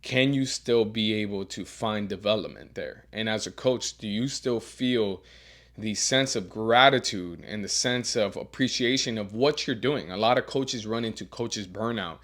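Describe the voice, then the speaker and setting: A man who coaches strength and teaches as a professor, giving earnest career advice with a deeper voice and frequent repeated words as he restarts. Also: moderate pace, a bit bright. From Miami. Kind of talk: advice monologue.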